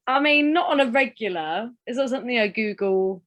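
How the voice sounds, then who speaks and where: A woman's voice: fairly high pitch, lively intonation, fairly quick, fairly bright tone. A woman in a casual chat, skeptical and teasing, laughing often. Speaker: podcast co-host.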